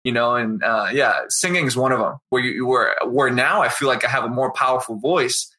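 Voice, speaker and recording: Laid-back young singer. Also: male voice, medium pitch, moderate pace, bright, forceful tone. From outside Boston, Massachusetts. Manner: laid-back, rambling, full of "you know" and "right?". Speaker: guest, singer. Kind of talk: casual interview chat.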